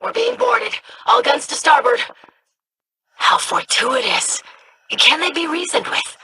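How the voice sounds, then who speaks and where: A woman's voice: extremely high pitch, big pitch swings, takes it slowly, vocal fry, background noise, heavily acted. A woman voicing a proud young noblewoman in a video game, formal and cutting. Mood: sardonic, crisp.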